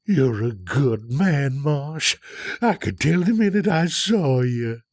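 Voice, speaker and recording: A man playing a sly, sinister werewolf with a husky voice in an audio drama. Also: medium pitch, animated, takes it slowly, a little mellow, no echo, studio quality. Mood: grateful, sly. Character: sinister werewolf man, slow and falsely grateful. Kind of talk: scripted audio drama acting.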